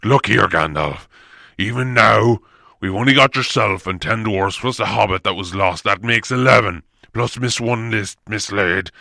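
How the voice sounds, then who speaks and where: A man voicing a huge, gruff, suspicious bear-man in a storybook reading, with a slow, growling, commanding delivery. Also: on the low side, pushed and bright, no room sound, studio quality. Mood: impatient, bossy. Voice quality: gravelly, growling. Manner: slow, heavy, commanding, blunt.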